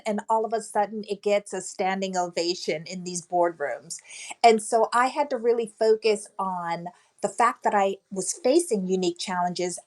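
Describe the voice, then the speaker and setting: A woman with a light voice speaking as a guest on an online panel about leadership, in a measured, deliberate way. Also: mid-range voice. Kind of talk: panel talk answering a host.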